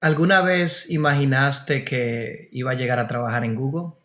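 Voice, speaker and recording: A man's voice: medium pitch, normal speed, a bit bright. A man with a Dominican accent hosting a podcast, giving prepared introductions and asking questions. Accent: Dominican Spanish. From Dominican Republic. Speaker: podcast host. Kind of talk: podcast interview.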